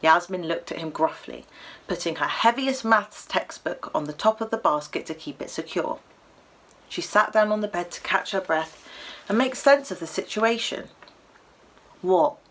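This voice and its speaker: British woman reading a story, slightly low voice. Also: rough recording. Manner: animated, dramatic pauses. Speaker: children's author reading aloud. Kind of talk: expressive storybook narration.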